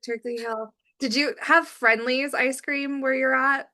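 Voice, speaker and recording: A woman hosting a podcast, chatty and asking quick, friendly questions. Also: high voice, fairly even intonation, bright, forceful tone. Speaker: podcast host. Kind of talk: casual interview chat.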